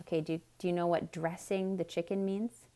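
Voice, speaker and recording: A woman reading a children's story aloud in a theatrical, expressive way, speaking directly to young listeners with explanatory asides. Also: on the low side, moderate pace.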